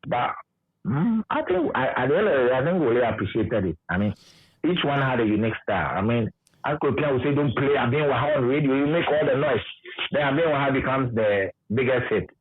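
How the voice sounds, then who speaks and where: A man's voice: medium pitch, lively intonation, fairly quick, husky. A Ghanaian man, a radio presenter, reminiscing in conversation and repeating his points for emphasis. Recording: muffled, narrow phone-like line.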